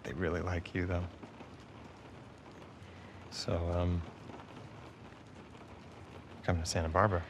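An actor playing an earnest, wry young male survivor in a naturalistic game cutscene, with casual, halting talk. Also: low voice, flat delivery, background noise. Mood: pleading.

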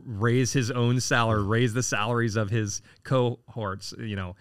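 A man's voice: medium pitch, fairly even intonation, moderate pace, clean tone, no room sound, clean studio sound. A man in his late forties, a city council candidate answering interview questions casually, with lots of fillers and restarts. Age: 40s. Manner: rambling, frequent fillers and restarts.

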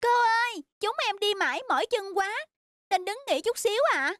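A woman's voice: extremely high pitch, expressive, moderate pace, a bit bright, no room sound, studio quality. A woman voicing a bored, grumbling young schoolchild in a cartoon, whiny and plain-spoken. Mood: sheepish. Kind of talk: animated cartoon dubbing.

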